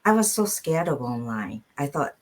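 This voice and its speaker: A middle-aged woman from Japan speaks English as an in a low-pitched voice. She is an interview guest sharing her own story in a conversational way, repeating words for emphasis. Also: sing-song, moderate pace. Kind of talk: personal storytelling in an online interview.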